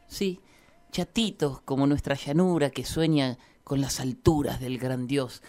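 A woman's voice: very deep voice, darkish tone. A woman reading scripted narration for a satirical radio drama in a mock-solemn, poetic manner.

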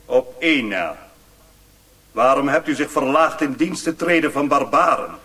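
An actor playing a haughty, sardonic Chinese warlord governor in a radio drama, imperious and questioning. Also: male voice, mid-range voice, animated, moderate pace, bright, forceful tone, distant mic. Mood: imperious, mocking. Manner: imperious, interrogating.

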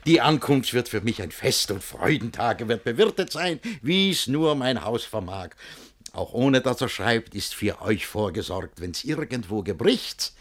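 An actor playing an excitable, bossy middle-aged master of a household in a classic radio comedy, declaiming theatrical verse. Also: male voice, mid-range voice, unhurried. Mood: jovial. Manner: theatrical rhymed verse, exclamatory, chuckling.

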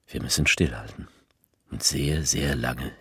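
An actor playing a political orator who delivers a bleak, defeatist speech in a theatrical radio play, declamatory and repetitive, with a husky voice. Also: male voice, a bass voice, pushed and bright, exaggerated voice. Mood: subdued, resigned. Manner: declamatory, repeating phrases with rhetorical pauses.

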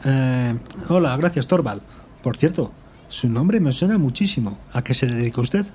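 A man's voice: mid-range voice, animated, moderate pace, warm tone, a little hiss or hum, close to an everyday voice. A man playing a bewildered but logical tech worker in an audio drama, explaining things as he reasons aloud. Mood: curious. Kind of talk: amateur audio drama acting.

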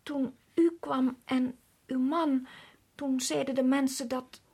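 An actress playing a timid, deferential village maidservant in a radio drama, speaking hesitantly. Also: female voice, high voice, fairly even intonation, takes it slowly, a little mellow, breathy edge, exaggerated voice. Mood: earnest.

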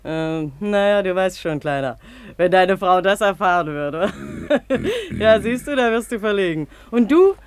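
An actress in a 1950s radio play voicing a brazen, teasing young washerwoman, sharp-tongued, mocking and overly familiar. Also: medium pitch, very animated, unhurried, smooth. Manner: mocking, familiar, cajoling with pet names.

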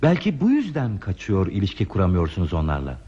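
An actor playing a lonely, gentle hermit in a radio play, speaking in a hesitant, pensive, polite way. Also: male voice, low voice, huge pitch swings, fast, husky, exaggerated voice. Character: lonely, gentle hermit man living in a remote place. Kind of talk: Turkish radio theater acting. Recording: dull, muffled radio drama recording.

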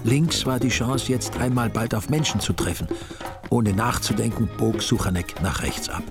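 A man's voice: mid-range voice, moderate pace, over loud music. A man narrating a story with dry, ironic humor. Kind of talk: wry storytelling reading.

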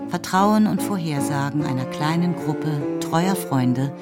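A woman's voice: low voice, a little slow, over loud music. A woman narrating a radio play in a scripted, literary storytelling style.

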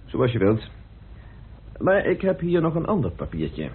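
An actor playing a methodical, insistent amateur detective in a radio play, speaking deliberately with theatrical pauses as he accuses. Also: mid-range voice, huge pitch swings, slight background noise. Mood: methodical, pressing. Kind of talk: radio play acting in Dutch. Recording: muffled old radio drama recording.

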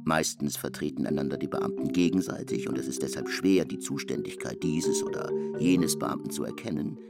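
A man narrating a literary German radio drama in a gravelly voice, voicing the dialogue as he reads. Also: a bass voice, moderate pace. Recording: prominent music under the voice. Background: music.

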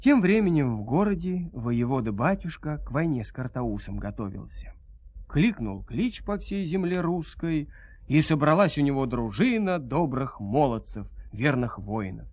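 A man narrating a dramatized fairy tale in an expressive, folksy storytelling manner. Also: mid-range voice, moderate pace, slightly rough.

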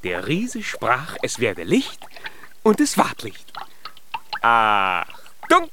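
An actor playing a proud, cheerful young dwarf inventor in a children's radio play, speaking with boastful emphasis. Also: male voice, mid-range voice, huge pitch swings, drawn-out words, sharp tone, a little hiss or hum, heavily acted. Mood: triumphant. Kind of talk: children's radio play acting with some singing.

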